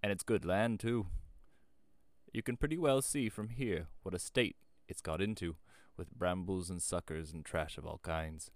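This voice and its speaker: A man voicing an old rustic shepherd in a storybook, speaking in country dialect with slow, emphatic, grave warnings. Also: low voice, no room sound. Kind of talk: storybook character voice.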